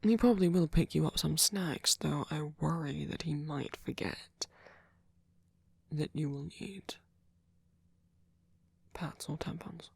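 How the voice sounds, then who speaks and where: A man playing a gentle, doting centuries-old vampire boyfriend in an ASMR roleplay, speaking softly and haltingly, often in a whisper. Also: fairly high pitch, dry recording, heavily acted. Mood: fretting, gentle. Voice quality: soft, breathy, often whispered. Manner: halting, polite, fussing, softly reassuring.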